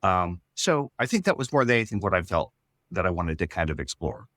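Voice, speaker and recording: A man speaking as a writer in a casual interview, explaining his ideas in a thoughtful, halting way. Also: low-pitched, big pitch swings, a bit bright, no room sound, studio quality. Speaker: writer and storyteller.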